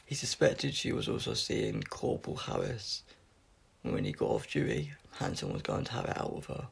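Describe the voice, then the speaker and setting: A man reading a science fiction story aloud as scripted narration, in a gravelly, husky voice with an unpolished, amateur delivery. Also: fairly low pitch, huge pitch swings, normal speed. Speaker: audiobook reader.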